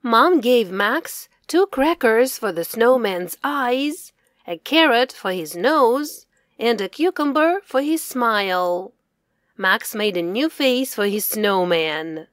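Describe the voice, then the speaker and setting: Slow, animated woman reading a children's story. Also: a little high, dry recording, studio quality. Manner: careful, deliberate enunciation. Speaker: children's author reading own story aloud. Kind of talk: scripted children's storybook narration for English learners.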